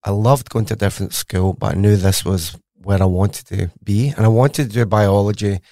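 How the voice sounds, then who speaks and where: A middle-aged Scottish man with a very low, mellow voice, talking as an interview guest. He tells his story with eager, conversational energy. The sound is close and dry. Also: steady tone, normal speed, dry recording. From Scotland. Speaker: guest scientist. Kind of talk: podcast interview.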